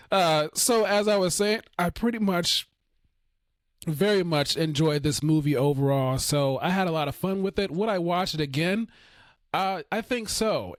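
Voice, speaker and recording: A man hosting his own podcast, reviewing a film in a relaxed, rambling way full of fillers and restarts, with his voice going hoarse. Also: a little high, dry recording. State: losing his voice. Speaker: podcast host. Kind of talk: casual solo film review.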